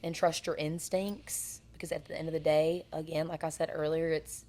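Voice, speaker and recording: Young female guest, raspy alto, earnest storyteller. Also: low voice, little pitch movement, normal speed, dark tone. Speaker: guest singer. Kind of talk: casual interview chat.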